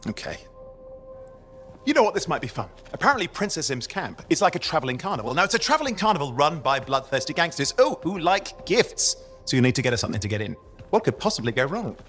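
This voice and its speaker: High-voiced, theatrical, sardonic male sidekick. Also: sing-song, quick pace, a bit bright, soft background music. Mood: wry. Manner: dramatic, sarcastic, chatty. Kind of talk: video game cutscene acting.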